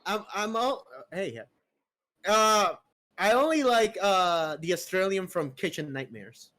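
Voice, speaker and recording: Goofy guy from puerto rico, high voice, swoops all over. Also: lively intonation, measured pace, pushed and bright. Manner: goofy, jokey, stumbles over words. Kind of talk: casual group chat.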